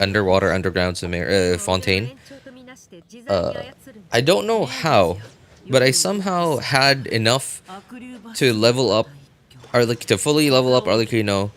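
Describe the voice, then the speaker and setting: A man chatting casually as a game livestreamer, thinking aloud in a halting way and often repeating himself. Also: fairly low pitch, a bit bright.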